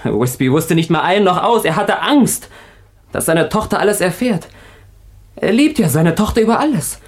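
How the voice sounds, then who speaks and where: Agitated male fugitive, fairly high voice, halting emotional confession, radio thriller monologue. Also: animated. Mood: tense, confessing. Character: agitated escaped convict confessing a hidden truth. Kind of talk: radio crime drama monologue.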